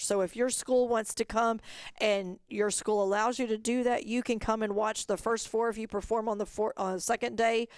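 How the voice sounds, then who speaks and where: A woman's voice: medium pitch, darkish tone, clean tone, dry recording. A woman organizer giving an informal webinar presentation, explaining things plainly and step by step.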